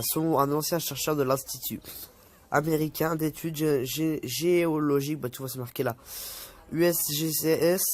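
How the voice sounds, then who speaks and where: A young man vlogging casually, reading an article aloud and stumbling over words, with many fillers. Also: fairly high pitch. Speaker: youtuber.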